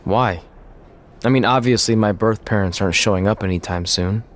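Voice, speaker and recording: A man's voice: on the low side, normal speed, a little hiss or hum, natural voice. A man playing a wry, loyal pro wrestler with a dry, teasing manner in a game cutscene. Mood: sincere. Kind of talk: video game cutscene acting.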